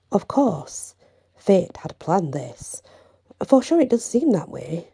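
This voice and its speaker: A woman narrating a bedtime fantasy story in ASMR style, hushed and breathy, often slipping toward a whisper. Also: fairly low pitch. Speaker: storyteller reading own fantasy fiction.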